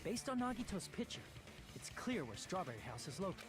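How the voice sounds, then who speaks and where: A man's voice: high voice, very animated, fairly quick. A male actor playing an earnest teenage boy detective in a video game, reasoning emphatically. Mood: determined. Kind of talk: video game dub acting. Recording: game music under the voice.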